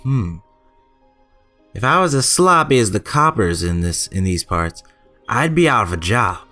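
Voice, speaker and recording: Guy doing a let's play, kinda low voice, chatty, reads stuff out loud, faint music behind. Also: sing-song, measured pace. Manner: informal, chatty, stumbles when reading aloud. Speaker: gaming channel host. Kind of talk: casual let's play commentary, reading game text aloud.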